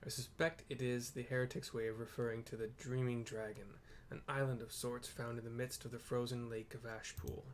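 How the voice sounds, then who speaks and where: Man playing a proud fantasy game knight, solemn lore-teller, archaic wording, medium pitch. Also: little pitch movement, a little fast, few pauses, a little hiss or hum, close to an everyday voice. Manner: formal archaic phrasing, solemn storytelling. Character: grave, proud dragon-slaying knight recounting lore.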